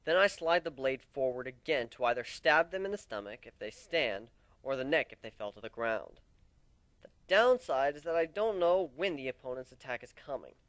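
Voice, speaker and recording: Bright-voiced man reading a story dramatically. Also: a little high, dry recording. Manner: theatrical, expressive. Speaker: amateur reader of fan fiction.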